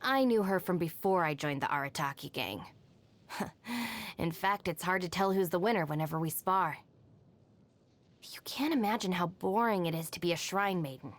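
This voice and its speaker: A woman's voice: on the low side, lively intonation, normal speed, lightly acted. An actress voicing a capable, dry young woman, a gang's deputy in a video game, with a composed, matter-of-fact and self-assured manner. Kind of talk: scripted video game character voice lines. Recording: music under the voice. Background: music.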